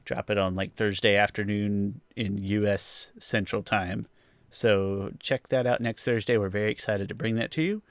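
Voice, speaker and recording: A man's voice: on the low side, steady tone, moderate pace, dry recording. A man hosting a podcast, chatting casually through a review in a rambling, hedging way. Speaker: podcast host.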